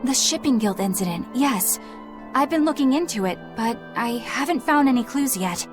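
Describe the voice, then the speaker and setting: A woman's voice: fairly high pitch, animated, normal speed, over music, lightly acted. An actress playing a formal, earnest young female exorcist in a game.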